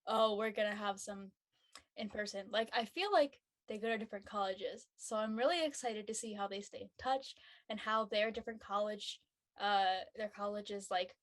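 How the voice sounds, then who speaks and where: A woman with a fairly high-pitched voice chats casually on a panel. She is talkative and moves from thought to thought as she shares her predictions. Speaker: actor on a drama panel. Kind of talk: casual chat.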